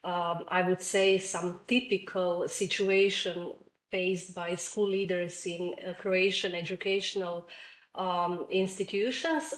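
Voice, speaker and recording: Woman professor, very slow and measured, echoey room, video call. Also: medium pitch. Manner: measured, careful, with fillers. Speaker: professor and webinar mentor. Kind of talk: academic answer.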